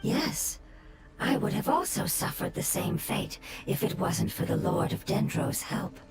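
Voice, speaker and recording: A woman voicing a small, gentle plant spirit who speaks softly and formally, in video game dialogue over game music. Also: medium pitch, very animated, measured pace, heavy background noise. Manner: soft, formal, wistful.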